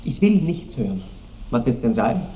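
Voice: medium pitch, big pitch swings, moderate pace, close to an everyday voice. An actor as an ardent, sulky teenage count, distant and muffled. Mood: defiant, sulky. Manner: impetuous, theatrical, petulant. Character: ardent, sulky seventeen-year-old count, young lover. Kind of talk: mid-century German radio play acting. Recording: muffled, hissy old radio recording, distant, roomy.

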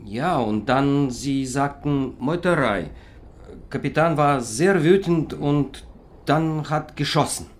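An actor playing a guarded sailor in a radio drama, answering tersely in halting, broken sentences. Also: male voice, medium pitch, animated. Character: guarded Lithuanian sailor under questioning.

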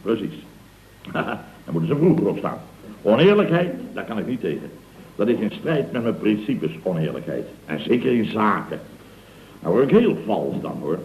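A self-righteous, blustering male contractor boss in a radio comedy. He speaks in clipped, repetitive bursts with a very animated pitch. The old recording is echoey and dull, with slight noise. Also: moderate pace. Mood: indignant, blustering. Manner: pompous, exclamatory, repeats himself in clipped bursts. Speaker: actor in a radio comedy serial. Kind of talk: 1970s Dutch radio comedy acting.

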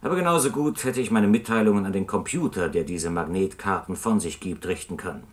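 A man's voice: low voice, a little fast, lightly acted. A man playing a polite, wronged customer earnestly dictating a complaint letter in a German radio play. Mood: relieved, earnest.

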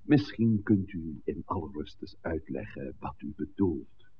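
An actor playing a smooth, self-assured, scheming male village priest with a formal, suave manner in a Dutch radio drama on an old recording. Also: extremely low pitch, lively intonation, unhurried, heavily acted. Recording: narrow-band, noisy old 1960s radio recording.

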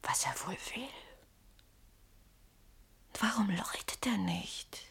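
A sceptical wife in a radio play. Her medium-pitched voice is husky and breathy, with diction. She reasons and questions, often dropping toward a whisper. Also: very animated, takes it slowly, fairly bright tone, exaggerated voice. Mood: uneasy, hushed. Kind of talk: German radio drama acting.

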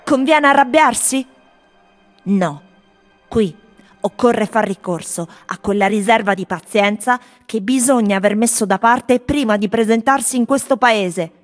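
A wry, world-weary tourist played by a woman delivers a theatrical radio monologue. The voice sits at an ordinary pitch for a woman, with music clearly playing behind it. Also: unhurried, lightly acted. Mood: exasperated, wry. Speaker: actress performing a radio theatre piece.

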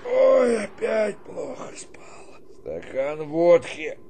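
An actor playing a weary old army colonel in a radio drama, grumbling, sighing and brusque. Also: male voice, high-pitched, very animated, very slow, creaky, over a noisy crowd, full character voice. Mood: weary, self-pitying. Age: older.

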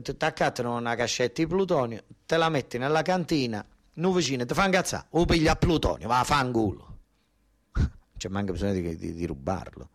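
A male storyteller with a Basilicata accent delivers a theatrical monologue in a medium-pitched voice. His speech is colloquial and tinged with dialect. He repeats phrases for emphasis and addresses listeners as though they were relatives at home. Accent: southern Italian, Basilicata. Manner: repetitive, emphatic, explaining to listeners as if to family. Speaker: stage actor performing a narrative monologue.

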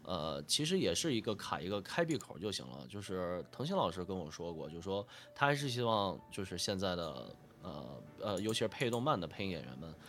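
A man speaks in a fairly low voice that sounds nasal and stuffy from a cold, with very animated pitch. He explains things casually and modestly, like a guest voice actor thinking aloud. Faint music plays underneath. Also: a little fast, hardly any pauses. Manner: modest, self-deprecating, thinks aloud with repetitions. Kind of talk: casual interview answers, explaining dubbing work.

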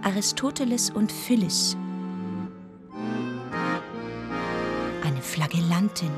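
An actress playing a pious deaconess who narrates in measured, short sentences for a radio play. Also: female voice, medium pitch, lively intonation, over loud music, natural voice. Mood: indignant.

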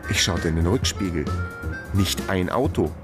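Laconic male detective narrator over loud music, played by an actor. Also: sits low, moderate pace, close to an everyday voice. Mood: wry, watchful. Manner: laconic, dry. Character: male private investigator narrating his own case, wry, laconic. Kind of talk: first-person radio crime drama narration.

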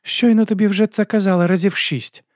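A man reading an audiobook and voicing a blunt young woman minstrel who answers briskly. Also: sits high, lively intonation, darkish tone, husky, no room sound, clean studio sound, voice pushed up.

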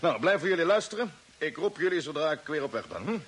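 An actor playing a determined expedition commander in an old radio drama, with a commanding, earnest manner. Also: male voice, a little high, big pitch swings, fast talker, fairly bright tone, slightly rough, exaggerated voice. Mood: firm, sober. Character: determined male space expedition commander on a Mars mission. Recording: old radio recording with faint hiss.

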